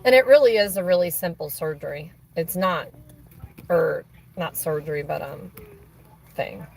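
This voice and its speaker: A woman with a hoarse, raspy voice chatting casually on a live stream, rambling with many fillers and restarts. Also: sits low, moderate pace, darkish tone, poor audio. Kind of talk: casual chat on a phone call during a stream.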